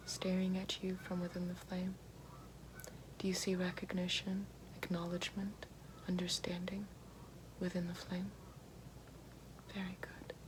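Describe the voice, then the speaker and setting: A calm witch voiced by a woman whispers close to the mic in a low, flat, unhurried hush, handing out gentle praise again and again. A steady hiss sits clearly behind her voice. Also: close to an everyday voice. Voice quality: whispered, soft. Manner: slow soothing, repeats praise. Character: calm gentle female witch guiding elements. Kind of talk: ASMR roleplay. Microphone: close binaural-style mic.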